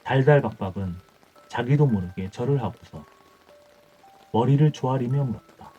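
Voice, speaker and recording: A man's voice: medium pitch, animated, normal speed, husky. A man reading an old folktale as scripted bedtime audiobook narration. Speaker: audiobook storyteller. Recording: dull muffled audio with music.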